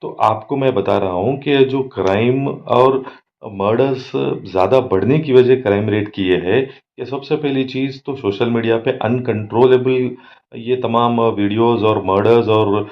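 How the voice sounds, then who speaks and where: A man's voice: medium pitch, fairly even intonation, unhurried. An Indian man giving crime commentary as an advocate and host, mixing in many English words. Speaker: advocate and social activist, channel host. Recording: dull lo-fi microphone.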